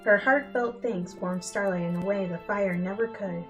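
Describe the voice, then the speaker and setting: Female storybook narrator, mid-pitched, dramatic reading style, soft instrumental music underneath. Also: normal speed, muffled recording. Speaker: fan fiction reader. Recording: music bed behind the voice.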